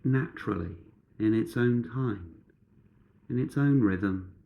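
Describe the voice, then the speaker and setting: A man guiding a meditation in measured, instructive short phrases with pauses between them. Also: on the low side, vocal fry, highs cut off. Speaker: meditation guide.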